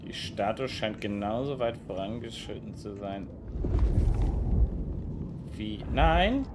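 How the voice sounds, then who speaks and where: A man's voice: lowish voice, expressive, slow pace, heavy background noise. A man giving casual live commentary as he plays through a video game. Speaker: game streamer. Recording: game music behind the voice. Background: game music.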